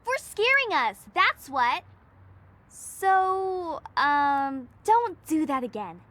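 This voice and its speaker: A woman voicing a sensible, sarcastic young girl with a dry, scolding manner in an animated game. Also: sits high, drawn-out words, heavily acted. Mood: dry, exasperated. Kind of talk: animated video game cutscene acting.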